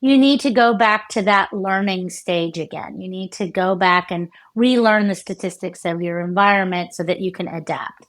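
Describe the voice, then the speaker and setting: Casting: American woman researcher, middle-aged, lively and chatty, frequent fillers and self-corrections. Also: medium pitch, moderate pace. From United States. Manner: animated, many fillers and restarts, laughs often. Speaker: neuroscientist guest. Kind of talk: conversational interview explanation.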